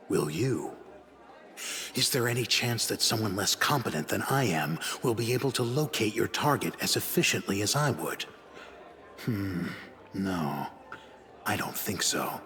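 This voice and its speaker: An actor playing a proud, cool-headed assassin for hire in a fantasy video game, speaking formally and with measured condescension in a deep, dignified voice. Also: male voice, very animated, sharp tone, in a large hall, exaggerated voice. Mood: haughty refusal.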